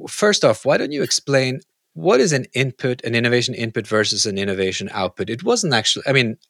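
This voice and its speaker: A man's voice: mid-range voice, very animated, brisk, gravelly, fairly bright tone, no room sound, clean studio sound. A man from Norway interviewing someone in a conversational way, circling around his phrasing with some hesitation.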